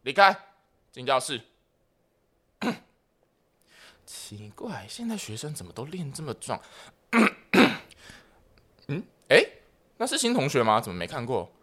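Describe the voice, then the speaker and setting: Male actor as suspicious school disciplinarian, Taiwanese accent, bright forceful tone, highly animated comic drama. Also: slow pace, pauses often, heavily acted. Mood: stern, incredulous, then curious muttering. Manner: barking orders, suspicious, muttering asides. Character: bossy, nosy male school disciplinary director. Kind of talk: comic radio play.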